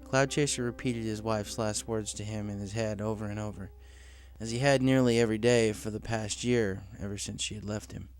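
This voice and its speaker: A man's voice: lowish voice, steady tone, brisk, a little mellow, faint music behind. A man reading scripted fiction aloud as a story narrator.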